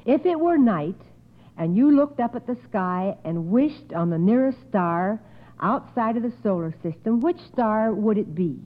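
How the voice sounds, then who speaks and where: A woman's voice: medium pitch, expressive, a little slow. A woman hosting a radio quiz in a polite, formal way, reading out questions. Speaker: quiz show host. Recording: muffled old radio recording.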